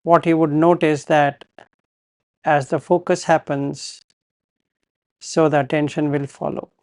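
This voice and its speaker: Male meditation teacher, unhurried pace, gravelly creak, calm measured instructions, pauses between phrases. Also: a little high, dry recording, studio quality.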